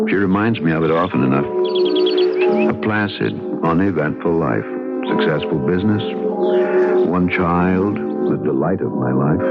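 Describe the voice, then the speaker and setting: A man's voice: low voice, heavily acted. An actor playing a wry, avuncular married man of about fifty, convalescing, in a radio drama. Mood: wry, reflective. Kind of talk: radio drama acting with reflective narration. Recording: dull old radio drama recording.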